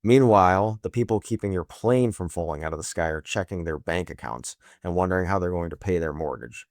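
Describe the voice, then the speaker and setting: A man's voice: sits low, a little fast, no echo, clean studio sound. A man hosting scripted political commentary in a sarcastic, emphatic manner full of rhetorical questions. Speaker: host of a political news commentary show.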